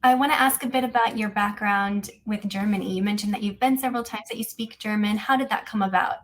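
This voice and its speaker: A woman hosting a podcast, putting prepared questions to her guest in a brisk way. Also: medium pitch, a little hiss or hum. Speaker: podcast host. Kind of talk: scripted interview questions.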